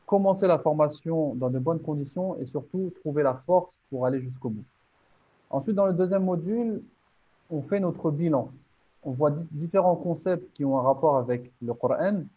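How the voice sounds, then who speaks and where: A man's voice: on the high side, mellow, highs cut off. A man teaching, presenting his online course step by step in an earnest, motivating way with many small restarts.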